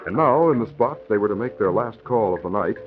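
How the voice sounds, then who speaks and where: A man's voice: lowish voice, expressive, brisk. A man giving scripted narration for a 1940s radio drama. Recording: muffled old radio recording with music. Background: music.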